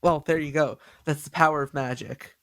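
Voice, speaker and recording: A man hosting a podcast in a casual chat, with a nasal voice, excitable and stumbling over his words. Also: a little high, normal speed, no echo, studio quality. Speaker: podcast host.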